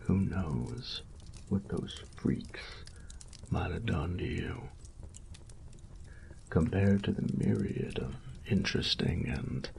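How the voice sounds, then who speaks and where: An extremely deep male bandit voice for a roleplay audio drama, thick with growling fry. He drawls slowly, teasing and menacing, with a cocky, sadistic swagger and breathy edges. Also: a little hiss or hum, exaggerated voice. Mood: mocking, menacing. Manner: taunting, drawling, folksy swagger with mock refinement. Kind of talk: scripted roleplay audio drama, spoken to the listener.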